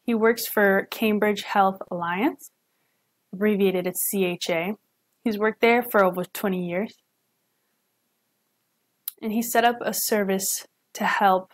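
Young woman, student interpreter, speaking a signed lecture aloud, mid-pitched voice, stops and rephrases, webcam mic at home. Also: fairly even intonation. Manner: halting, with self-corrections and restarts. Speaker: student sign language interpreter. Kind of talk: voicing a signed lecture in real time.

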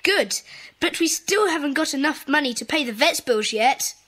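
High, bright, bossy British schoolboy. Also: female voice, lively intonation. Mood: businesslike. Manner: brisk, organising, enthusiastic. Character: eager, bossy schoolboy leading a children's club. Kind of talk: children's audiobook dramatization.

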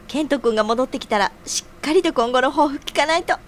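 An actress playing a cheerful, teasing, nosy young senior colleague in an audio drama, with playful feminine phrasing. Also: female voice, sits high, quick pace, close to an everyday voice. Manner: feminine sentence endings, playful. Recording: audible background noise.

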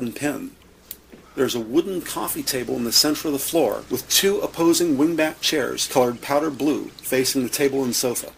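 A man narrating an audio drama from a script, describing scenes in measured present-tense storytelling. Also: on the high side, creaky, fairly bright tone, a little hiss or hum, rough recording. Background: music.

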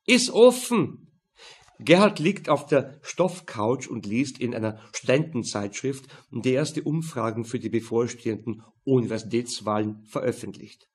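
A man with an Austrian accent narrating a crime novel in a matter-of-fact, slightly husky voice. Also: mid-range voice, moderate pace, few pauses. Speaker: audiobook narrator.

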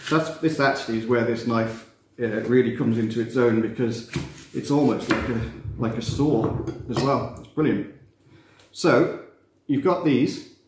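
A man, teaching a relaxed cooking demonstration in a chatty, self-mocking way with playful asides. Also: medium pitch, a little slow. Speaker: cooking instructor. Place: glass-walled kitchen room facing a garden. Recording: roomy echo, faint background noise.

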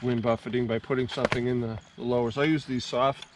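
A man vlogging about his motorcycle in a casual, halting way, with plenty of ums, later talking from inside a helmet while riding on the highway. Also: level tone. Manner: halting, many ums and restarts. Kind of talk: casual how-to talk. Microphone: helmet mic in a full-face helmet. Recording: muffled helmet mic with loud wind noise. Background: loud wind and road noise.

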